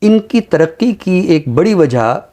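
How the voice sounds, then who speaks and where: A middle-aged man with a Pakistani accent speaks formally and deliberately about literature, repeating phrases for emphasis. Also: sits high, very animated, dark tone. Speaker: literary show presenter and book reviewer. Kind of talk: measured literary talk with poetry recitation.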